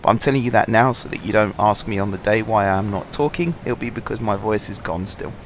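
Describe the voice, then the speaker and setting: Hoarse gaming host fighting a cough. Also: male voice, lowish voice, fairly quick, slight background noise. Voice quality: slightly husky. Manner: rambling, apologetic, lots of ums. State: sore throat, fighting coughs. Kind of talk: casual let's-play commentary. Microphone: headset.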